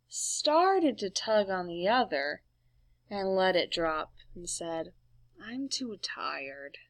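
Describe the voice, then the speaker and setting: A woman reading a storybook aloud to young children in an expressive, playful way, with emphatic sound words. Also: fairly high pitch, no echo. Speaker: storybook reader, teacher.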